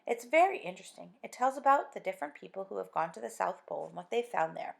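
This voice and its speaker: Woman voices an earnest, excitable husband; lively, slightly gravelly. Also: medium pitch, fast talker. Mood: eager. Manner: enthusiastic, earnest. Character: eager dreamy middle-aged husband.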